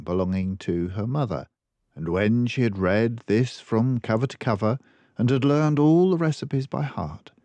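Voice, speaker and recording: An older man reading a children's book aloud in a deliberate, expressive storytelling manner. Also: on the low side, no echo. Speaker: storybook reader.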